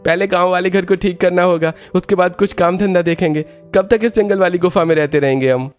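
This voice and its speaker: A man's voice: sits high, fairly quick, dull audio, pitched above the performer's own voice, close to an everyday voice. An eager grown son of a village family, played by a man speaks in cartoon dialogue. The voice is raised, with faint music behind. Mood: earnest.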